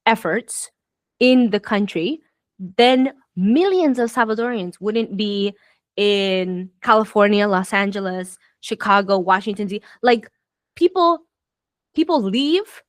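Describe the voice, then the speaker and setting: A woman's voice: medium pitch, no room sound, clean studio sound. A woman raised in Southern Florida whose first language is Spanish, talking reflectively in a casual conversation, with many fillers and restarts and bursts of exasperation. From United States, raised in Southern Florida.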